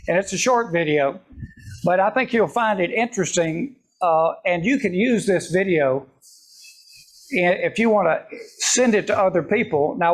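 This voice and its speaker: A man's voice: high voice, rough recording. A man speaking casually to a men's meeting, teasing the group and asking them questions before starting a video. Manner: chatty, teasing, asks the room questions. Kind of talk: casual joking talk to a live group.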